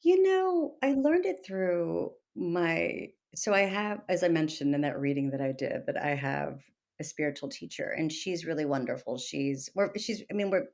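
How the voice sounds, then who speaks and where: A woman in her early fifties from Texas speaks as an interview guest in a slightly low register. Her delivery is conversational and personal, emphatic, and often halting as she rephrases herself. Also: animated, creaky, a little mellow. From Texas, United States. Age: early 50s. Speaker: podcast guest, author and meditation teacher.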